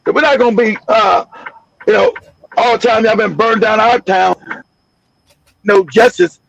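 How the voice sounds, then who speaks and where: A man's voice: sits high, measured pace, creaky, fairly bright tone, no room sound, lo-fi sound. A man hosting a livestream, delivering angry, blunt, profane political commentary. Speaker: livestream host.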